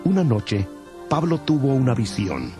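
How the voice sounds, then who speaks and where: A man's voice: mid-range voice, wildly swooping pitch, unhurried. A man narrating a dramatized Bible from a script. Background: music.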